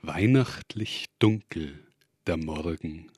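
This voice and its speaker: A man recites poetry in a fairly low voice. His pace is extremely slow, with each short phrase set apart and measured. The sound is dry, with hardly any room around it. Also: lively intonation, a little mellow, breathy edge, no room sound. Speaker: poet reading own work. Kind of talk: poetry reading.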